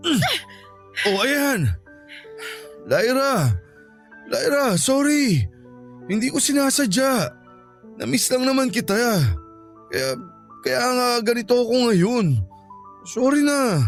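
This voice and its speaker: A man's voice: extremely high pitch, sing-song, slow pace, pauses often, exaggerated voice. A hot-tempered young boyfriend in a Filipino radio drama. He is possessive and insistent, with a dramatic, pleading delivery over faint background music. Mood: remorseful, begging.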